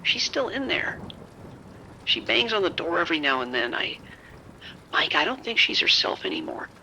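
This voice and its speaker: Male actor as a panicked, weakening bitten man in horror drama; high, gravelly, halting delivery. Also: big pitch swings, sharp tone, a little hiss or hum, exaggerated voice, rough recording. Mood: shaken, distressed. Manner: halting, whispery, repeating himself. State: sick, weak, faltering. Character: frightened, sickening bitten man, unravelling and rambling. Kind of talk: horror audio drama phone call.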